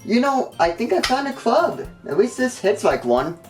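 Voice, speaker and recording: A man's voice: extremely high pitch, very animated, moderate pace. A man narrating a scripted comedic video game review, with sarcastic joking asides and exaggerated emphasis. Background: background music.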